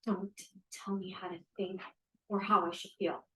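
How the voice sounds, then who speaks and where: A woman's voice: medium pitch, level tone, normal speed, pushed and bright, roomy sound, lightly acted. Distant, guarded young student woman played by actress. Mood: defensive. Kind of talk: stage play table read. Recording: distant room mic.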